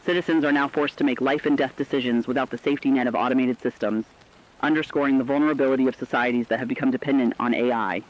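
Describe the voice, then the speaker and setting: A man voicing a serious radio correspondent, giving scripted crisis analysis in a formal, earnest, measured way. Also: mid-range voice, steady tone, lightly acted. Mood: serious, concerned. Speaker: news correspondent. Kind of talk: scripted emergency radio news report. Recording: dull lo-fi radio broadcast sound.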